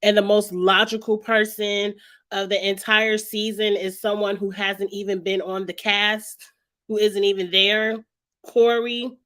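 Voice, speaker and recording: A woman hosting her own vlog, talking casually and frankly about a reality show with a raspy, hoarse voice and frequent throat-clearing. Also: medium pitch, flat delivery, a little slow, a bit bright. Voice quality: raspy, heavy voice. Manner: chatty, frank, greets live viewers, clears throat often. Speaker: vlog host. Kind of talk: casual reality-TV commentary chat.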